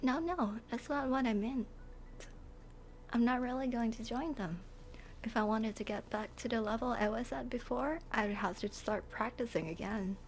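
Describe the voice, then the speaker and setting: A woman voicing a gentle, caring young female game character in a soft, breathy voice for a fan dub. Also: on the high side, normal speed, a little hiss or hum, lightly acted. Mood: shy, modest. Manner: gentle, earnest.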